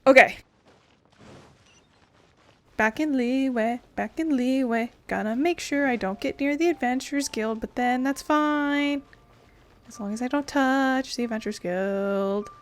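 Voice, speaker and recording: A woman's voice: sits high, unhurried. A woman giving casual let's play commentary, rambling and playful, with the odd swear word. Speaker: gaming video host.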